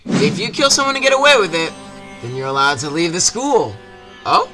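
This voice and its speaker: High-pitched, animated male game reviewer. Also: a little fast. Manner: sarcastic, exaggerated delivery. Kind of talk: comedic scripted review narration. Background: music behind the voice.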